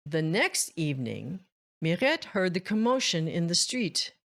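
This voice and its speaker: A woman narrating a children's story aloud as host, in a measured, deliberate, expressive read-aloud style. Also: lowish voice, vocal fry. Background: music.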